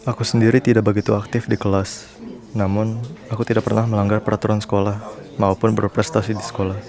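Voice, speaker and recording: A man playing a reserved, easygoing high school boy in a visual novel, speaking in an understated, reflective way. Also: level tone, fast talker, a crowd in the background, lightly acted. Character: reserved, easygoing teenage boy, ordinary student. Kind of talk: acted visual novel drama. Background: music behind the voice.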